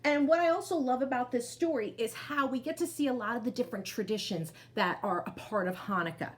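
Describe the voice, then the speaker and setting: A woman storyteller reading aloud to children, expressive, with chatty asides that speak to them directly. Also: on the high side, a little fast.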